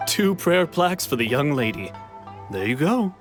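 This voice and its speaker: A calm shrine stall keeper. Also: male voice, a little high, moderate pace, soft background music. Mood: polite. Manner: calm, welcoming, explanatory. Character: courteous male prayer plaque stall keeper. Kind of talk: video game voice acting.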